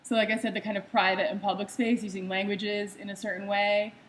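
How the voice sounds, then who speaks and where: A woman's voice: mid-range voice, flat delivery, brisk, a little hiss or hum. A young woman from the United States giving a slide talk about her own art. She speaks conversationally, with frequent ums and uhs.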